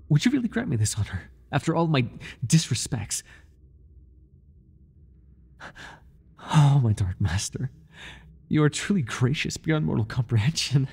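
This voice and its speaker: A man playing an obsessive, groveling high priest who serves a dark master, speaking in a pleading, servile way in an intimate ASMR roleplay. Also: creaky, husky, full character voice. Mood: overwhelmed gratitude. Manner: pleading, servile, breathless with devotion.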